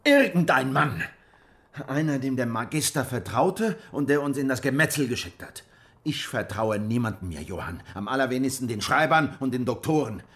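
An actor playing a weary, distrustful survivor of a crushed peasant revolt in a German radio drama, brooding and bitter. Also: male voice, medium pitch, animated, normal speed, a bit bright. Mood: agitated, distrustful. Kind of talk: German radio drama dialogue and first-person narration. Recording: music behind the voice.

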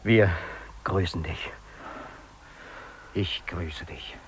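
An actor playing a grieving, reverent member of a polar rescue party, speaking in short, solemn, hushed declarations in a 1950s German radio drama. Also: male voice, low voice, drawn-out words. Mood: hushed grief. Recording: noisy old radio drama recording.

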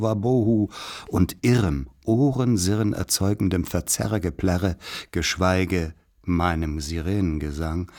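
Radio drama narrator: wry man recalling boyhood, very deep, unhurried, measured and solemn. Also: a little mellow. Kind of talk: literary radio play narration.

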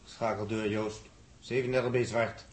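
An actor playing a dry, grudging spaceship crewman in a 1960s Dutch radio play, with a husky voice and a Netherlands accent. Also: on the low side, flat delivery, moderate pace, a little hiss or hum, natural voice. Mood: matter-of-fact. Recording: 1960s radio drama recording.

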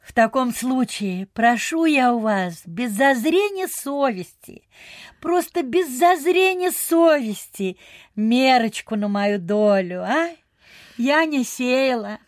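High, slow-spoken genteel lady. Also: lively intonation, no room sound, clean studio sound. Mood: wheedling. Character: genteel, wheedling, sensible lady house guest in provincial Russia. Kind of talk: Soviet-style radio theatre acting.

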